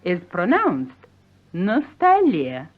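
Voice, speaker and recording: Female radio tutor, 1950s style, didactic and unhurried, on a thin phone-like recording. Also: lowish voice, huge pitch swings. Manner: precise, didactic, carefully enunciated. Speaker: language teacher. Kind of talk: scripted radio language lesson, 1950s broadcast.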